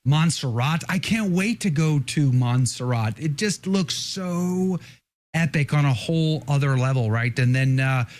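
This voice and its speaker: A man's voice: fairly high pitch, expressive, a little slow, a little mellow. List: man, host, casual chat, stammers, restarts. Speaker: show host.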